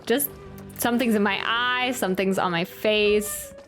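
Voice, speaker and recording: A woman's voice: medium pitch, measured pace, a bit bright. A woman chatting casually on a livestream as she reacts to a game, giggly and self-deprecating. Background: video game music.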